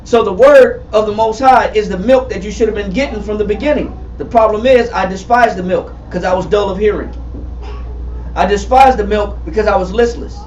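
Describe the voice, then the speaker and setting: A man in his forties teaching a Bible study, emphatic and repetitive, calling on readers and building to shouts. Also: sits high, a little hiss or hum. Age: 40s. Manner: emphatic, repetitive, calls for readings, rising to shouts. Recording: far-off echoey room, muffled and lo-fi.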